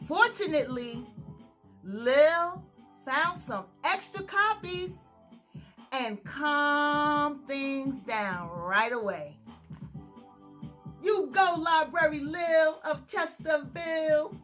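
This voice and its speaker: A woman with a high, bright voice reads a children's story slowly and expressively. She often pauses to ask her young listeners questions. Faint music plays behind her. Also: animated. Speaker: storytime reader. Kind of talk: children's story read-aloud.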